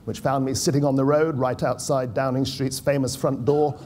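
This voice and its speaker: A man's voice: mid-range voice, level tone, moderate pace, a little mellow, a little hiss or hum. Dry-witted British man at a podium. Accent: British English. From United Kingdom. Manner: dry, wry asides. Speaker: conference speaker, former political correspondent. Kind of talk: prepared speech read from notes. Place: conference hall. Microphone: podium microphone.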